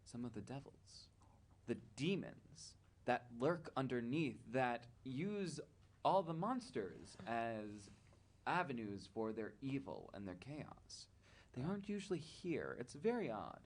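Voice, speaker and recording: A man narrating a tabletop fantasy game as game master, halting as he improvises and drifting into casual asides. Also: medium pitch, sing-song.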